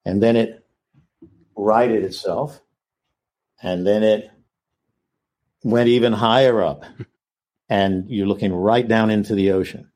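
A man's voice: on the low side, steady tone, slow, long pauses, darkish tone. A man telling an anecdote, leaning on repeated fillers as he builds it up. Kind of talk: anecdote in a clip.

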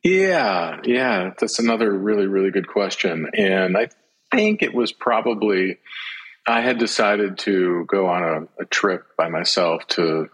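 Husky, low-voiced man, thoughtful. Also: fairly bright tone, poor audio. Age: middle-aged. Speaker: interview guest, author. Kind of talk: reflective personal conversation.

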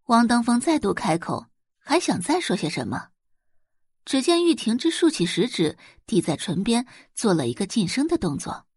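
A woman reading a novel as an audiobook narrator, with expressive scripted delivery. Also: on the high side, normal speed, breathy edge, no echo, studio quality.

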